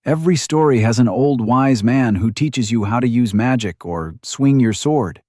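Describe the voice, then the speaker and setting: A man's voice: medium pitch, normal speed, darkish tone, dry recording, studio quality, lightly acted. A man voicing a young male hero in an audiobook, casual and plain-spoken, polite but frank. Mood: earnest, casual.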